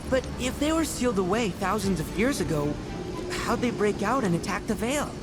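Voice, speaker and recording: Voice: medium pitch, expressive, a little fast, close to an everyday voice. An actor voicing a young male talking beast in a video game, earnest and a little brash, speaking in a casual, terse, youthful way. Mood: questioning. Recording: loud music under the voice.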